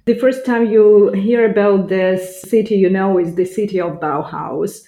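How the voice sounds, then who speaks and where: A woman's voice: medium pitch, unhurried, warm tone, echoey room. A woman from Ukraine with a Ukrainian accent, giving casual interview answers as a podcast guest. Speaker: podcast guest, language teacher.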